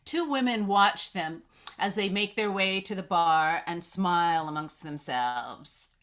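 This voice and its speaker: A woman's voice: on the low side, unhurried, a bit bright. A woman reading stage directions aloud as scripted narration at a screenplay table read.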